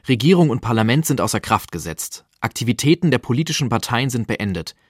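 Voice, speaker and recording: A man's voice: medium pitch, big pitch swings, brisk, no room sound, studio quality. A man reading a novel excerpt aloud in audiobook style.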